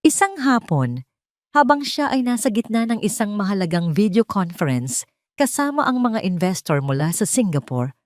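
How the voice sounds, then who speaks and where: A woman reading a scripted story as narrator, with a measured, dramatic delivery. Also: mid-range voice, very animated, no echo, clean studio sound.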